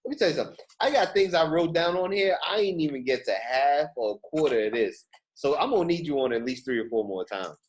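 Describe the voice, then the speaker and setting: A man's voice: a little high, expressive, fast. A man hosting a podcast, chatting casually and emphatically, often repeating his phrases for stress. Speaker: podcast host. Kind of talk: casual podcast interview chat.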